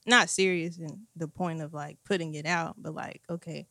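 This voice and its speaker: A woman in her twenties, a musician guest, talking in a relaxed, slangy, upbeat way. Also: deep voice, moderate pace, no echo, studio quality. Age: 20s. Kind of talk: casual chat interview.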